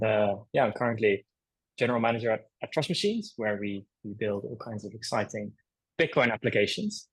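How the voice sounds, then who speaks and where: Guy on a panel, halting, lots of uh and restarts, husky lowish voice, dry. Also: no room sound, low-quality recording. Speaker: panelist, co-founder. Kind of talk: panel discussion.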